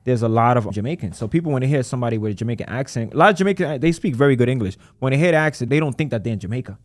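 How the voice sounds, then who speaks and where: A man who runs a credit repair business, talking casually in an interview and acting out his callers in quick voices. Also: mid-range voice, lively intonation, dry recording. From United States.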